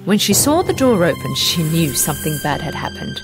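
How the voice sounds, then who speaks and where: Female bedtime-story narrator: dramatic, swooping intonation over clear background music. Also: mid-range voice, wildly swooping pitch, a little fast, poor audio. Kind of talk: scripted children's fairy tale narration.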